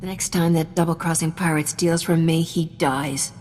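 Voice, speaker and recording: An actress playing a brash, quick-witted woman starship captain with a teasing, wisecracking manner in a video game. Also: low-pitched, steady tone, darkish tone, slight background noise, lightly acted. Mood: cocky. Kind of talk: video game cutscene acting.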